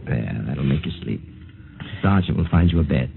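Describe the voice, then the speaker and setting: Steady military base doctor, deep American male, animated inflection, 1950s radio drama, tinny recording. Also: moderate pace, gravelly, full character voice. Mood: measured, soothing. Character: steady, low-voiced base doctor and aide.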